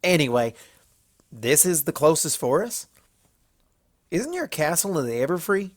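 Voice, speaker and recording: A man's voice: on the high side, big pitch swings, unhurried, no room sound, clean studio sound, pitched above the performer's own voice. A man voicing a plainspoken, feisty young female farm pony with folksy, informal phrasing in a story reading. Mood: teasing, curious. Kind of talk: fan fiction character reading.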